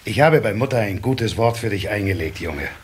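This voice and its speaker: Easygoing water-sprite father, lively intonation. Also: lowish voice, normal speed, husky. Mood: kindly. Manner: calm, amused, explanatory. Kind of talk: children's radio play acting. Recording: cassette recording with faint hiss.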